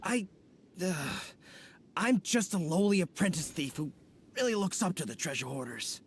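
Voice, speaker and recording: Goofy young wannabe thief, folksy slangy drawl, high and bouncy. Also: male voice, very animated, normal speed, noisy background. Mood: sheepish. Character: young masked male apprentice thief, bumbling and folksy. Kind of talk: video game voice acting.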